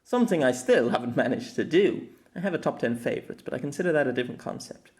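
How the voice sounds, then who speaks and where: Guy reading his own audio essay, really animated pitch, kinda wordy and formal. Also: fast. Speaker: host of an anime audio essay. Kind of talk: scripted narration, opinion essay.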